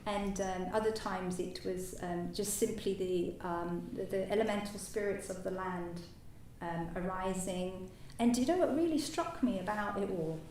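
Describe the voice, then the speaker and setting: A woman whose first language is French gives a halting personal talk as a new Buddhist order member, full of fillers and restarts. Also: mid-range voice, darkish tone. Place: meditation centre hall. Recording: echoey hall, distant mic, faint noise.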